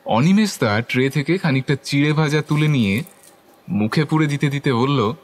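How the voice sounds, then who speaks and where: An actor playing a curious young club member who narrates a ghost story in the first person, with scripted dialogue. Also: male voice, on the high side, animated, normal speed, slight background noise, close to an everyday voice. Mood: observant, curious.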